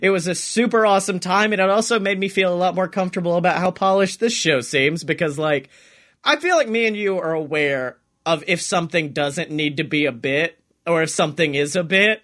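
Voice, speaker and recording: A man co-hosting a podcast, chatting casually and comedically in an animated, playful, sweary way. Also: high voice, a little fast. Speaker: podcast co-host.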